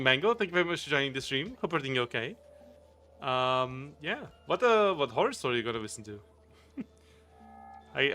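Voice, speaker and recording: A man with a Portuguese accent chats casually with his stream audience. He rambles and chuckles often as he reads and answers the chat. His voice has a bright, forward tone, with soft music playing in the background. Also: medium pitch. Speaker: game streamer.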